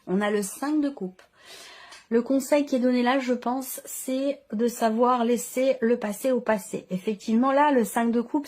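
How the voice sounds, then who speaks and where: A woman's voice: on the high side, dark tone. A woman giving an unscripted tarot reading, often searching for her words and repeating herself. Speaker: tarot reader.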